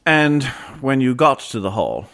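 Measured ghost hunter with lively intonation. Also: male voice, mid-range voice, gravelly, full character voice. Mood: grave, inquisitive. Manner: measured, inquisitive. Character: calm, probing gentleman ghost hunter questioning a client. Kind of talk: radio drama acting.

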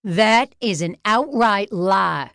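A woman's voice: mid-range voice, animated, takes it slowly, gravelly, a bit bright, no echo, clean studio sound, pitched above the performer's own voice, full character voice. A woman voicing a pompous, whiny wounded businessman who groans and sputters indignantly in an audiobook story. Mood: outraged accusing.

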